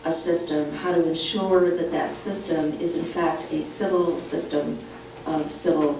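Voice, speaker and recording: A woman with a fairly low voice, delivering measured panel remarks. She is recorded from across a very echoey hall with faint noise in the background. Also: steady tone, a little mellow. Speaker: panelist, former official. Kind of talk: conference panel talk. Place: university conference room. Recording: echoey hall, distant mic.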